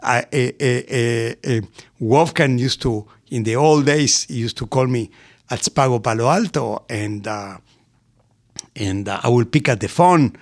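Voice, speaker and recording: A middle-aged man from Argentina with an Argentinian accent, a guest in an interview who tells lively stories and laughs often. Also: mid-range voice, a little slow. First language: Spanish. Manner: animated, laughs often, acts out voices in anecdotes. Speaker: restaurant executive guest. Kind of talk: storytelling interview.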